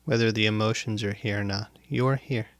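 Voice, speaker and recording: A man guiding a meditation as a teacher, speaking in deliberate, instructive phrases with pauses and repetition, close to the microphone. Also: on the low side, fairly even intonation, a bit bright, no room sound. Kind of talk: guided meditation, spoken instruction. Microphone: close microphone, dry sound.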